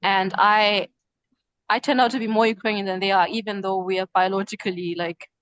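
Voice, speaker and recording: A woman's voice: mid-range voice, steady tone, fairly quick, a bit bright, no echo, lo-fi sound. A young woman from Zimbabwe, a medical student, answering interview questions with many hesitant fillers. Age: young adult.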